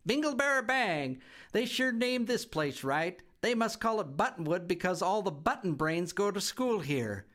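A man doing a loud, mocking girl bug. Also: high-pitched, big pitch swings, moderate pace, pitched above the performer's own voice. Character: loud rude giggling young girl bug.

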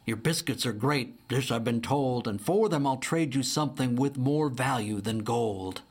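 A man's voice: mid-range voice, moderate pace, slightly rough, natural voice. A man voicing a sly, smiling male bear who speaks in rhymes, in a storybook.

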